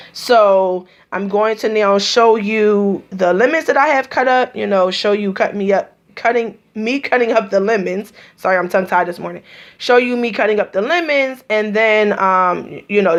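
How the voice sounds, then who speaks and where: A woman walks viewers through a juicing routine in her home kitchen. Her voice sits at a middle pitch and wanders, full of ums and restarts. She moves closer to and farther from the camera as she works. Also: moderate pace, dull audio. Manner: rambling, many ums and restarts. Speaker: vlogger. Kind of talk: casual kitchen demonstration.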